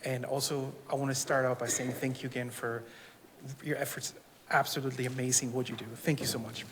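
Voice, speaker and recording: A man from Austria with an Austrian accent, a cancer researcher giving a public talk in a friendly, halting way with many fillers. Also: medium pitch, flat delivery. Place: city hall event room. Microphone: microphone on stand. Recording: reverberant hall, faint noise.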